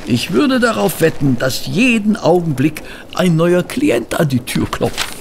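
A fussy, loyal gentleman companion in a radio play. His voice sits a little high for a man and moves in lively swings, with formal, old-fashioned phrasing. It has a gravelly creak. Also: measured pace, slight background noise, heavily acted. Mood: flustered, indignant. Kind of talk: German audio drama acting and narration.

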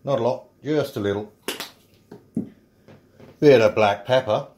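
A man's voice: medium pitch, measured pace, a little mellow, slight background noise. A man chatting casually through a home cooking video, explaining each step with self-mocking asides. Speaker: home cook. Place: small home kitchen.

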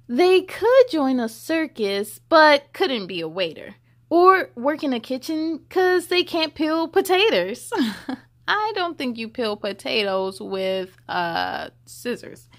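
A young woman hosting a children's story time, reading a picture book expressively with drawn-out emphasis and chatty asides. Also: high voice. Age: young adult. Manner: animated, drawn-out emphasis on rhymes.

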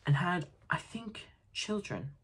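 A man reading as a quiet solemn ghost. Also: high voice, big pitch swings, slow, breathy edge, pitched above the performer's own voice, exaggerated voice. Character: gentle solemn spirit guide.

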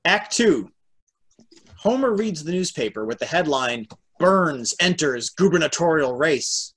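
Husky man narrating stage directions. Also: a little high, animated, unhurried, a bit bright. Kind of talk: scripted narration at an amateur table read. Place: home, on a video call.

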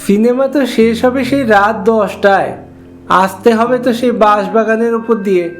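A man's voice: sits high, normal speed, faint music behind, close to an everyday voice. A man voicing a timid, wheedling village schoolboy in a cartoon story, hesitant and pleading. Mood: worried.

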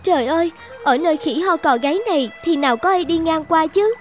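A tiny talking fish from a fairy-tale cartoon, extremely high and childlike. The creature speaks in an earnest, plaintive, polite way, with faint music behind. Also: female voice, a little fast. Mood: desperate, pleading. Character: pleading, grateful little talking fish in trouble.

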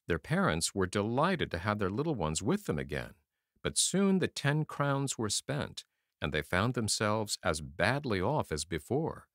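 A man narrating a fairy tale as an audiobook reader, in a measured, expressive storytelling manner. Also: on the low side, no echo, clean studio sound.

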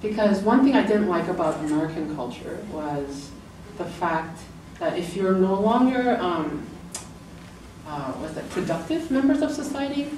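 A woman's voice: animated, normal speed, darkish tone. Middle-aged woman, chatty talk in an echoey room. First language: English. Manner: chatty, laughing, acting out quoted lines with pitch jumps. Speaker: author and illustrator giving a book talk. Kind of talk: informal presentation. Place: lecture room, indoors. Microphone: far camera in the room. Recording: roomy, distant camera audio with noise.

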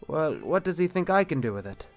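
An actor playing a dejected, earnest bishop, formal and exasperated, in period drama style. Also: male voice, on the high side, big pitch swings, fairly quick, faint music behind. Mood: earnest, weary. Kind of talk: period radio-drama acting.

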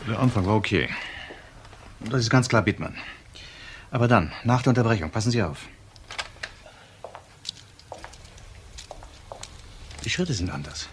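An actor playing a dogged, old-fashioned police detective in a crime radio drama, dry, blunt and sarcastic. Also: male voice, on the low side, expressive, a little fast, natural voice. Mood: impatient, firm. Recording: clear background vehicle and street noise.

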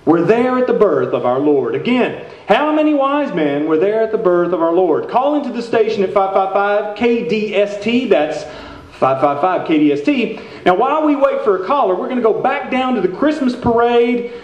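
Guy playing folksy radio announcer, highish voice, showy, live radio play, dull roomy stage sound. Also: animated, moderate pace. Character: folksy small-town radio station host, man. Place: theater stage with stand microphone.

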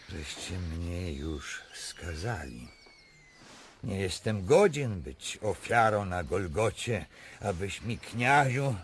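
A man's voice: on the low side, a little hiss or hum. An actor playing a proud, anguished, doomed Polish king in a radio drama, solemn and declamatory.

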